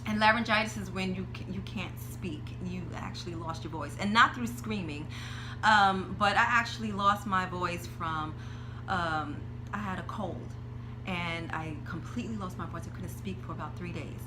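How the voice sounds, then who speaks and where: A woman teaching a movement meditation, chatty and personal about a favorite book, then giving slow, soothing breathing cues while seated on the floor indoors. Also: fairly low pitch, music in the background, rough recording.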